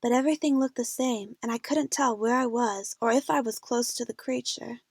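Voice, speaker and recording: A woman's voice: fairly high pitch, normal speed, slightly rough, no echo, clean studio sound. A woman playing a frightened young female adventure fan, narrating her own jungle ordeal in a scripted audio drama. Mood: anxious.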